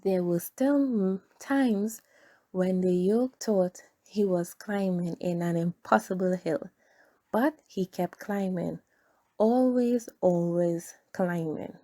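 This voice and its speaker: Slow-paced woman reading to young children. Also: fairly low pitch, dark tone. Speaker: children's teacher and read-aloud host. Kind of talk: children's storybook read-aloud.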